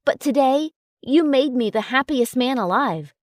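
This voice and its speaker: A woman voicing a young male werewolf alpha in an audiobook, speaking softly and coaxingly. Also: high-pitched, lively intonation, a little slow, no echo, clean studio sound, voice pushed up. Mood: tender. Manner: soft, coaxing delivery.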